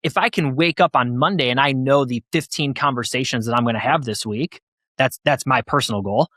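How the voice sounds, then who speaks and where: A man's voice: mid-range voice, a little fast, fairly bright tone, no echo, clean studio sound. A man hosting a podcast, talking conversationally as he shares views and puts questions to a guest. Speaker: podcast host. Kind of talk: conversational interviewing.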